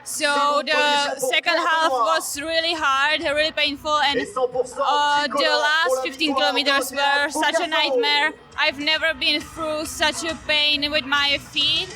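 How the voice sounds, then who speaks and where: A woman from Czech Republic, an athlete telling lively stories about her race. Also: high-pitched, slow pace. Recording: music playing behind.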